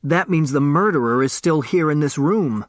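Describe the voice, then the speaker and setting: A man's voice: on the high side, animated, moderate pace, no echo, clean studio sound, pitched above the performer's own voice, close to an everyday voice. A man voicing an earnest, mournful teenage boy explaining himself in a storybook. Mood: earnest reasoning.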